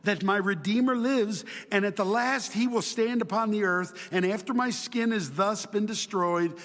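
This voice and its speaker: A man's voice: high-pitched, a bit bright. Man preaching in a reverberant hall. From Chile. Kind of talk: sermon with personal stories and scripture readings. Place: large hall, speaking live to a congregation.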